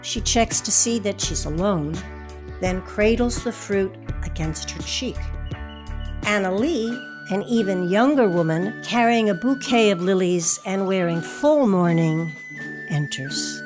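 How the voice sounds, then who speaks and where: Slow, measured woman narrator over music. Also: mid-range voice. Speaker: narrator reading stage directions. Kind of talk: scripted narration, present tense.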